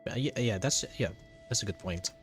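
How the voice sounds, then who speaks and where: A man's voice: on the low side, brisk, music in the background. A man with a Filipino accent, a native Tagalog speaker, giving casual, joking and somewhat hesitant game commentary as a streamer. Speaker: streamer playing and reacting to a game.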